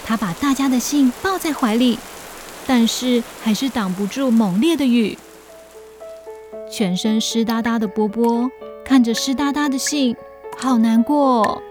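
A woman narrating a scripted children's story in an expressive storytelling manner. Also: on the high side, unhurried, faint music behind.

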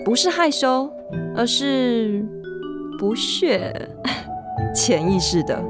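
A woman in her thirties acting as the wry narrator of a radio drama, telling of a friend in measured phrases with dramatic pauses. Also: a little high, sing-song, music in the background, exaggerated voice. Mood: wry, reflective.

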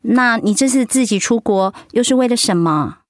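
A sympathetic woman confidante, played by an actress in a radio drama. Her fairly high voice swings with lively intonation as she draws the story out in a curious, conversational way. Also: normal speed, no echo, studio quality, close to an everyday voice.

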